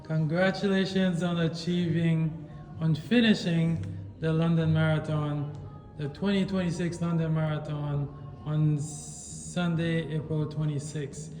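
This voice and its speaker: A man with a fairly high voice asks short, upbeat interview questions. His voice rings in an echoey space with a long reverb, and clear music plays behind him. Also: flat delivery, a little slow, a little mellow.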